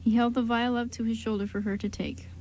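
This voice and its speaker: A woman's voice: fairly high pitch, fairly even intonation, brisk, darkish tone, slight background noise, rough recording. A woman reading scripted story narration for an amateur radio drama.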